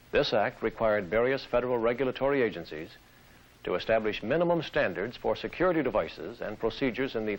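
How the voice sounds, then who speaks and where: A man with an American accent presenting scripted instructional material in a formal, deliberate manner. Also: lowish voice, poor audio. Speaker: presenter of an instructional training film.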